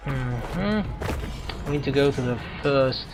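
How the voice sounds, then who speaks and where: A man's voice: medium pitch, expressive, slow pace. Rambling male gamer over game music. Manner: rambling, thinking aloud. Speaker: video game streamer. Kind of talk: casual let's-play commentary.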